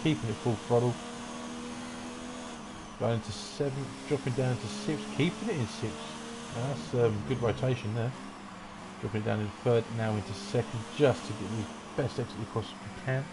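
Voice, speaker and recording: A man giving relaxed, rambling commentary on an online racing qualifying session. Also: medium pitch, normal speed, heavy background noise. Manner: relaxed, rambling, self-deprecating asides. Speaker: race commentator.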